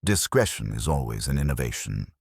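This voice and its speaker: A man voicing a prim, shrewd older woman, genteel and dryly amused, with crisp, precise speech in a storybook reading. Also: extremely low pitch, big pitch swings, a little fast, dry recording, clean studio sound, voice pushed down, exaggerated voice. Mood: pleasant, dry.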